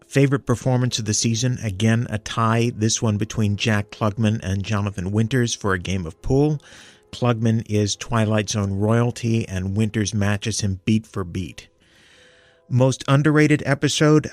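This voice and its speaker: Low-voiced man, very dry close sound. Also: no echo. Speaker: listener sending in a review. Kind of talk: prepared, articulate commentary.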